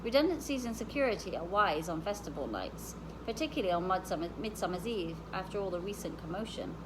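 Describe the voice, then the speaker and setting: A woman reading the part of a calm, practical, knowledgeable woman explaining things in a storybook. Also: medium pitch, quick pace, background noise, close to an everyday voice.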